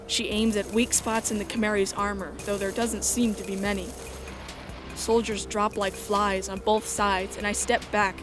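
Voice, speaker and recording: Woman narrating drama over music. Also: mid-range voice. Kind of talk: scripted first-person dramatic story narration.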